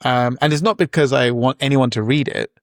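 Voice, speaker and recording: A man in his forties talks through his thoughts in a relaxed interview, circling back and restarting often with plenty of fillers. His mid-pitched voice has a gravelly, creaky edge, and the sound is dry and close. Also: brisk, no room sound. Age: 40s. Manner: reflective, rambling with many fillers and restarts. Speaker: guest entrepreneur. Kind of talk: casual interview talk.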